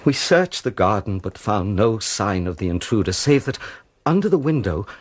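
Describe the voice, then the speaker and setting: A nervous gentleman telling a story in a radio drama. His voice is lowish and husky, his phrasing formal, fussy and precise. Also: very animated, normal speed. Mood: grave, haunted. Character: nervous, talkative gentleman recounting his father's death.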